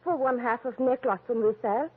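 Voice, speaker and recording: A woman's voice: on the high side, normal speed, breathy edge. A woman playing a blunt young Dutch bride in 1940s radio drama, with a Dutch accent and inverted foreign phrasing. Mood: matter-of-fact, insistent. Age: young adult. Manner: foreign, inverted word order, insistent. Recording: muffled old radio recording.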